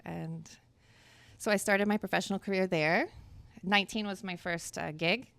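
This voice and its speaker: A woman's voice: medium pitch, fairly even intonation, normal speed, gravelly, a bit bright, dry recording. A woman in her thirties from Florida, telling her story candidly as a guest at a live podcast interview. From Florida, USA. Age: 30s. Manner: candid, chatty, laughs easily. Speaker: guest dancer. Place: live podcast event in Miami. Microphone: live event microphone.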